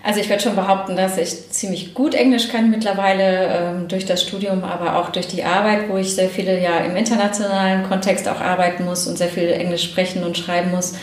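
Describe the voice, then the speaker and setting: A woman's voice: fairly low pitch, fairly even intonation, a little fast, hardly any pauses. Thoughtful woman guest, echoey room. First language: German. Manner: thoughtful, fluent with frequent fillers. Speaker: guest, press spokesperson. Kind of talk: casual interview answers.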